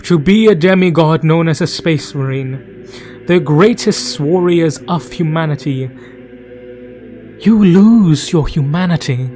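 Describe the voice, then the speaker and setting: A man delivering dramatic scripted lore narration, grave and portentous, in archaic oratorical phrasing with dramatic pauses. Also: a little high, darkish tone. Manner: grave, theatrical, portentous, addressing the listener as traveler. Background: background music.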